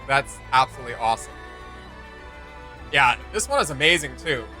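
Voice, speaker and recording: Bright-voiced man rambling enthusiastically. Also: medium pitch, very animated, moderate pace, soft background music. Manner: enthusiastic, rambling, thinking aloud. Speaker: host of a reaction channel. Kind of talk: casual reaction commentary.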